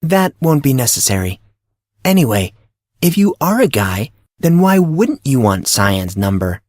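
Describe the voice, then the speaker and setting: A man's voice: medium pitch, sing-song, measured pace, darkish tone, no room sound, pitched above the performer's own voice. A man voicing a bossy, teasing teenage girl in an audio drama, speaking in a taunting, dramatic way. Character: brusque, teasing, secretive blonde teenage high school girl. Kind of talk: audio drama character acting.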